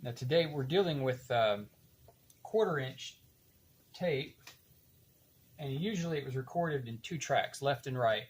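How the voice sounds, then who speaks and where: An older man talks through a practical demonstration in a casual, explanatory way. His delivery is unhurried and halting, as if thinking aloud while he works. A faint wash of room noise sits behind his medium-pitched voice. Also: lively intonation. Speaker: demonstrator of reel-to-reel tape machines.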